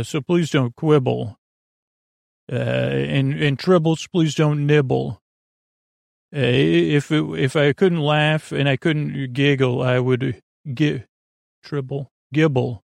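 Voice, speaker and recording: A man hosting a podcast in a whimsical, meandering monologue, halting and often repeating or correcting himself, with a creaky, gravelly voice. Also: mid-range voice, no room sound, clean studio sound. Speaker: podcast host. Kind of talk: rambling, whimsical bedtime monologue.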